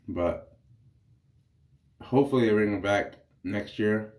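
Low-voiced congested man in echoey bathroom. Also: takes it slowly. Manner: relaxed, rambling, explains while shaving. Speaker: wet-shaving reviewer. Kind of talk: casual vlog commentary. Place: small bathroom.

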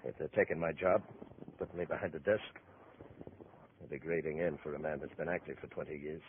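A deep-voiced, troubled veteran cop. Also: male voice, steady tone, fairly quick. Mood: agitated, bitter. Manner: brooding, folksy turns of phrase. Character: troubled, proud veteran beat policeman, middle-aged man. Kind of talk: 1950s radio acting. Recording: muffled, noisy 1950s radio recording.

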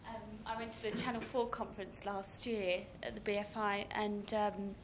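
Woman, audience comment, monotone delivery, faint noise. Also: mid-range voice, normal speed. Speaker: audience member. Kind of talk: comment from the floor.

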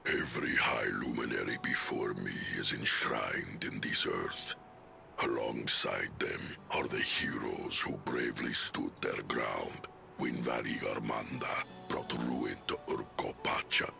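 An ancient giant elder for a video game. The voice is extremely deep and thick with gravel, speaking slowly and solemnly with formal gravity, over prominent music. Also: male voice, full character voice. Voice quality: gravelly, rumbling. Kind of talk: scripted video game cutscene acting.

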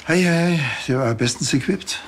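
A man with a Bavarian accent playing a gruff, wry, impatient police officer in a TV crime comedy, blunt and colloquial. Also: a little high, normal speed, background noise, close to an everyday voice. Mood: wry, casual. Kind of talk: scripted TV comedy crime drama acting.

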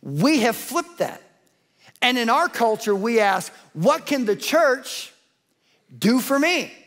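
A male pastor in his forties preaches from a large church stage, his voice sitting notably high for a man. He talks emphatically and builds his points through repetition. He is picked up close on a headset mic. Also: very animated, slow pace, fairly bright tone. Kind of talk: sermon.

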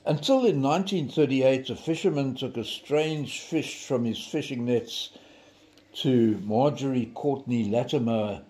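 An older man, giving an informal devotional in a deliberate storytelling manner with dramatic emphasis. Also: medium pitch, dark tone, poor audio. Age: 70s. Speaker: devotional preacher. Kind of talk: informal sermon with storytelling and scripture reading.

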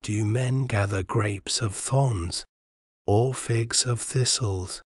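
Slow, soothing male Bible reader. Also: on the low side, no room sound, clean studio sound. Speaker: scripture reader. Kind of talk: slow bedtime Bible reading.

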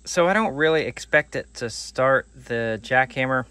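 A man's voice: mid-range voice, expressive, moderate pace, bright, forceful tone, clean tone, no echo. A man in his forties hosting a do-it-yourself channel, casually talking through a hands-on tool test, thinking aloud with restarts, recorded close on a phone camera. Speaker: DIY channel host. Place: outdoors on gravel and in a workshop.

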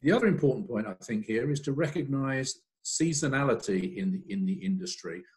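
A man's voice: mid-range voice, animated, fairly quick. A man in his sixties explaining points on an online panel over a video call, often repeating phrases. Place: room at home or office, video call.

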